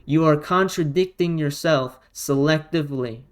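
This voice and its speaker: A man's voice: fairly high pitch, moderate pace, clean tone. A man, a non-native speaker whose first language is Romanian or Russian, delivering argumentative response commentary in an exasperated, combative way, leaning on emphatic rhetorical questions. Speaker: online video commentator.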